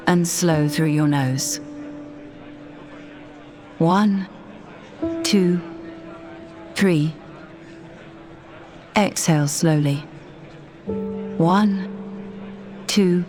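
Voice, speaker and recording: A woman narrating a scripted guided meditation in a measured, deliberate, soothing manner. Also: on the low side. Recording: voice over background music. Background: music.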